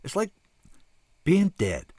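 A man's voice: sits high, wildly swooping pitch, takes it slowly, a little mellow, slightly rough, no echo, clean studio sound, voice pushed up, full character voice. A man voicing a skinny, truculent young ex-addict in a story, ranting in a raised, strained, slangy way. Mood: dreamy. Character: skinny young ex-junkie, bitter, truculent, desperate.

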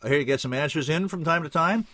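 A male narrator acting out a skinny young ex-junkie in an audiobook story. The voice is strained and pitched high, swinging widely as he rants and pleads. He is bitter, slangy and desperate, recorded close and dry. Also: wildly swooping pitch, slow pace, a little mellow, dry recording, heavily acted. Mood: truculent, guarded. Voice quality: raised, strained voice.

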